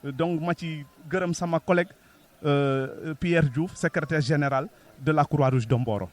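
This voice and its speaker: Senegalese male interviewee, local official, pitch a little above average, code-switching as he answers. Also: normal speed. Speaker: Red Cross local secretary-general, interviewee. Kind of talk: interview answers mixing Wolof and French.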